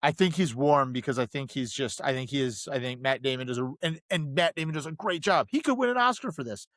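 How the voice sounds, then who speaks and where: A man's voice: medium pitch, expressive, fast, fairly bright tone, dry recording, studio quality. A man co-hosting a podcast, discussing film casually and circling back to qualify his points as he goes. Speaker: podcast host.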